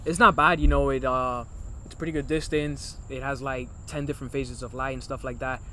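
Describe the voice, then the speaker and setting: Young podcast co-host from Miami, early twenties, loose and rambling, light noise underneath. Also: male voice, mid-range voice, steady tone, fairly quick, bright, forceful tone. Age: early 20s. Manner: loose, rambling, addresses viewers as guys. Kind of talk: casual podcast chat.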